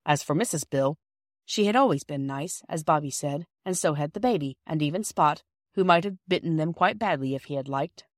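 A woman's voice: low-pitched, animated, fairly quick, dry recording, clean studio sound. A woman narrating a children's storybook from a script. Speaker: audiobook narrator.

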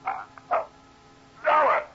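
A man's voice: takes it slowly, pushed and bright. An actor playing a drugged, panicking man who stammers in broken gasps in an old radio drama. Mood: dazed panic. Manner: gasping, stammering, slurred broken speech. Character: drugged man collapsing in his chair, panicking. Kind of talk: 1960s radio drama acting. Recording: dull old radio recording with music.

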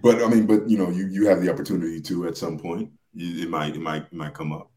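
A man explaining things in a casual podcast discussion, hesitant and halting, often repeating his phrases. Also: low voice, steady tone, mellow, echoey room.

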